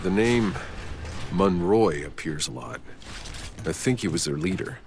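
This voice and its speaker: Deep-voiced, gruff, weary soldier. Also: male voice, sing-song, measured pace, noisy background, heavily acted. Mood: matter-of-fact. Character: gruff, weary male soldier scouting a hostile world. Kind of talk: video game cutscene acting.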